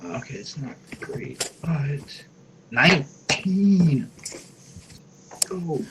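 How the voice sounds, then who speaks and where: A man's voice: a little high, expressive, very slow. A man playing a tabletop game, talking casually in an offhand, blunt way. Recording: faint noise and clicks on mic.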